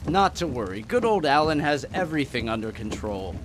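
A man's voice: mid-range voice, sing-song, brisk, lightly acted. An actor playing a cocky young husband in an audio drama, playful and mock-grandiose in his overconfidence. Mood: mock-grand confidence. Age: young adult. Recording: clear rain and vehicle noise behind. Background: rain and vehicle noise.

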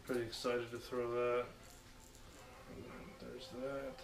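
Flat-voiced young man in an echoey room. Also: mid-range voice, slow pace, vocal fry. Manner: relaxed, rambling with many fillers. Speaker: fishing channel host. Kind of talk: casual unboxing vlog. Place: small dim living room, indoors. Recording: echoey room with background noise.

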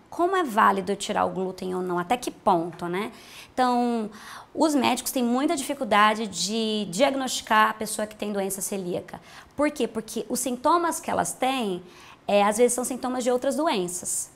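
A woman in her thirties with a Brazilian accent, a nutritionist explaining diet in a TV health interview, patient and plain-spoken, on a lapel mic. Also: fairly high pitch. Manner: explanatory, patient, uses simple comparisons. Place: bright room with large windows.

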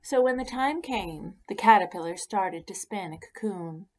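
A woman narrating a children's picture book aloud in an expressive, deliberate way. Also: medium pitch, low-quality recording. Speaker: children's story reader. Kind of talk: read-aloud storybook narration.

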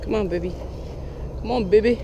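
A man's voice: high-pitched, a little fast, gravelly, slight background noise. Chatty young man fishing outdoors. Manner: chatty, excitable, lots of "like" and "oh my gosh". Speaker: fishing vlogger. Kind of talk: casual running commentary while fishing. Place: outdoors on a lake bank in Florida. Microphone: head-mounted action camera.

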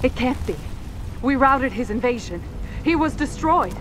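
A high-voiced, suspicious otherworldly warrior woman. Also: expressive, unhurried, noisy background. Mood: distraught. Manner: dramatic, archaic formal phrasing. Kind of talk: video game cutscene acting. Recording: music behind the voice.

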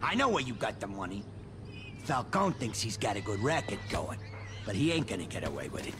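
An actor playing a cruel, cocky American mobster in a video game, taunting people in rough street talk. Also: male voice, very animated, brisk, over music. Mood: threatening interrogation. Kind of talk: video game cutscene acting. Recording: clear background noise, rumbling room ambience.

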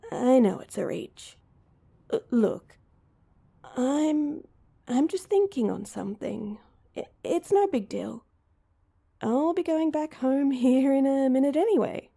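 A woman's voice: on the high side, gravelly, mellow, breathy edge, heavily acted. A woman playing an awkward, heartbroken young woman in an intimate roleplay audio drama, speaking hesitantly and with self-deprecation. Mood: evasive, resigned.

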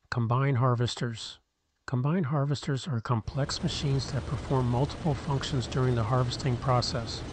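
A man reading a scripted countdown narration in a steady, measured explainer manner, recorded as a close-mic voice-over. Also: medium pitch, no echo.

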